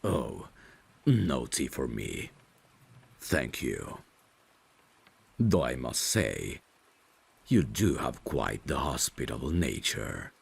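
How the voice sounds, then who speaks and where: A man's voice: sing-song, exaggerated voice. A man voicing a courteous, devoted, centuries-old vampire butler in an ASMR roleplay, speaking formally and deferentially. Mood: courteous, attentive. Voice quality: very deep, creaky. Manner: formal, deferential, measured servant's phrasing. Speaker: ASMR voice actor. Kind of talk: ASMR roleplay, dramatic scripted acting.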